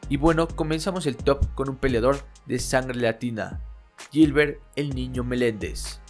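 A young man narrates his sports channel's video with a steady, informative read. His voice sits at a middle pitch, and soft music runs under him. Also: measured pace. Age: young adult. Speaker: channel host. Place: small home room. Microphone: studio microphone on stand.

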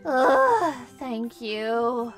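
Woman acting as needy perfectionist office lady, twenties, anime dub, high voice, melodramatic, music behind. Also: sing-song, drawn-out words, full character voice. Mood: needy, dramatic. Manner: melodramatic, whiny when distressed, composed at work. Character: perfectionist twenties career woman, anxious, dramatic, secretly needy.

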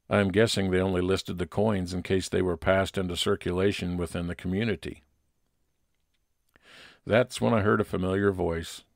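A private investigator played by a man in an old-time radio detective story. His voice is low and measured, with a wry, matter-of-fact delivery and no room sound. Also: creaky, dry recording, clean studio sound. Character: Billy, male private investigator, observant and wry.

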